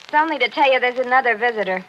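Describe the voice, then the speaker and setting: A woman's voice: fairly high pitch, lively intonation, very fast, slight background noise, natural voice. A woman playing a saucy, scornful housemaid who mocks her betters, pert, in a 1940s radio drama. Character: saucy, disdainful female housemaid, mockingly mimicking her betters. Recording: dull 1940s radio recording.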